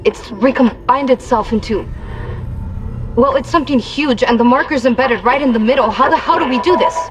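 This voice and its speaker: A woman's voice: fairly high pitch, a little fast, lightly acted. An actress playing a tense, wary female computer specialist in a sci-fi video game. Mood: urgent, wary. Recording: lo-fi radio transmission.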